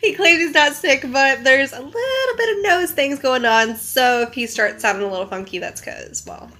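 A woman co-hosting a casual bourbon tasting chat, a little nasal from a cold, chatty and quick to laugh. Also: high voice, expressive, pushed and bright. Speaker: co-host of a bourbon show.

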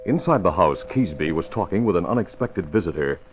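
A man's voice: low-pitched, expressive, a little fast, over music. A man narrating and announcing in scripted 1940s radio style. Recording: thin, tinny old radio recording.

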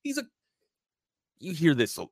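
A man's voice: on the high side, wildly swooping pitch, quick pace. A man co-hosting a sports podcast in casual, slangy talk, peppering his points with man and bro.

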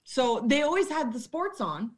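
A woman's voice: high voice, very animated, normal speed. A young woman, a former bartender, chatting as a podcast guest, blunt and swearing freely as she laughs at herself. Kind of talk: casual interview chat.

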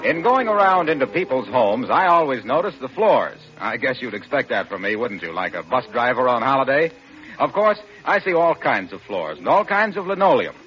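An American man announcing on 1940s radio, delivering a scripted sales pitch with folksy polish. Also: very animated, normal speed. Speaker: radio announcer and sponsor spokesman. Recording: dull vintage radio recording, music behind.

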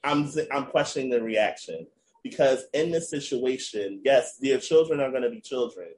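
A man's voice: medium pitch, normal speed, a little mellow, a little hiss or hum, low-quality recording. Man hosting a casual podcast. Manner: storytelling, recounts news to cohosts. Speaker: podcast host.

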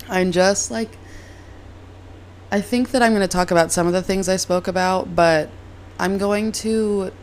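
A young woman hosting her own podcast, hoarse and raspy from a cold, chatting in a rambling, self-deprecating way. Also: mid-range voice, a little slow, pauses often, a little hiss or hum. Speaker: podcast host. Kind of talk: casual solo podcast chat. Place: moved from Reno to LA, recording at home.

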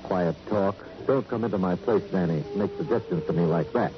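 An actor playing a suave, self-possessed former racketeer, coolly genteel and clipped, in a radio crime drama. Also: male voice, low-pitched, brisk, natural voice. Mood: coolly menacing. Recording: old radio drama recording, dull, music behind.

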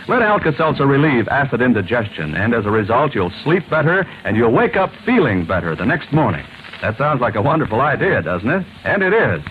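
A man's voice: mid-range voice, highs cut off. A man reading a scripted old-time radio advertisement as an announcer, with emphatic, persuasive delivery. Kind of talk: scripted 1940s-style radio ad read. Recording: thin, faintly noisy vintage radio recording.

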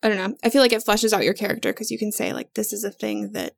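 Woman w a gravelly voice, teasing quips, dry mic. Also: mid-range voice, steady tone, dry recording. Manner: teasing, quick playful remarks. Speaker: player. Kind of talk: casual tabletop chat.

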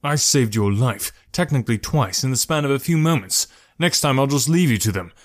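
A man's voice: mid-range voice, expressive, fast talker, darkish tone, natural voice. A man voicing a self-assured male archer in a story, with a commanding, curt and dry delivery. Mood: angry.

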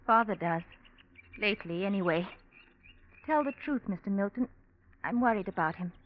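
An actress playing a gentle, anxious young well-to-do daughter in an old-time radio drama, earnest and hesitant. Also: female voice, medium pitch, expressive, moderate pace, close to an everyday voice. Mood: worried. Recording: muffled vintage radio, faint music.

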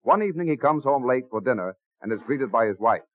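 A man giving formal, measured storytelling narration for an old radio drama. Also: mid-range voice, expressive, a little hiss or hum. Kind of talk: mid-century American radio scripted narration. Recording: tinny old radio recording with music. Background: music.

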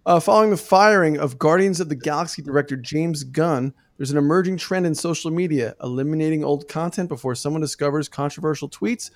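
A man's voice: a little high, brisk, few pauses, dry recording, studio quality. A man co-hosting a podcast, teasing and playful in banter, then reading out news items. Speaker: podcast co-host.

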